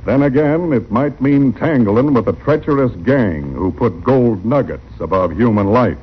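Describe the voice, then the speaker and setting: A man's voice: mid-range voice, expressive, unhurried, slight background noise. An American man narrating a vintage radio drama as its announcer, in a grand, theatrical scripted delivery. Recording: muffled old radio broadcast. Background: music.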